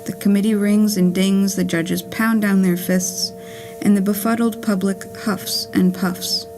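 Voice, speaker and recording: A woman plays a wry, whimsical letter writer speaking from beyond, in a poetic puppet-theater monologue. Her mid-pitched voice has a clear creak at its edges. She reads deliberately and with measure, over faint music. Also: steady tone, close to an everyday voice, poor audio. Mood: wry, wistful. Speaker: writer and narrator reading her play. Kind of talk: scripted poetic monologue, letter read aloud.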